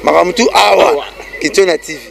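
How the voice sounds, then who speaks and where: Guy hyping a channel jingle, super fast, gravelly. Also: high voice, very noisy. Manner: rapid rhythmic hype delivery.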